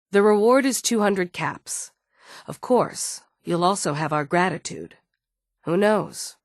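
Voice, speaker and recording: A woman's voice: on the low side, no room sound, clean studio sound. A woman voicing a stern, guarded android security chief in a video game, firm and businesslike. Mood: firm, curt. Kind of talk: scripted game dialogue.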